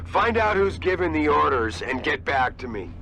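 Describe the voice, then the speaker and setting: A gravelly, bright-voiced male boss in a video game. He is commanding, agitated and emphatic, over a noisy background. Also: fairly high pitch, sing-song, moderate pace, heavily acted. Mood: alarmed, commanding.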